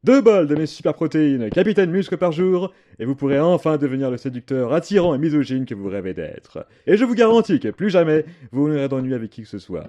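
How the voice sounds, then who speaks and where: Lively high-pitched male superhero, acted by a man. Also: fairly quick, few pauses. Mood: pompous, boastful. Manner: pompous, declamatory. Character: bombastic male superhero selling protein powder in an advert. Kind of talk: parody TV commercial acting in an audio comedy.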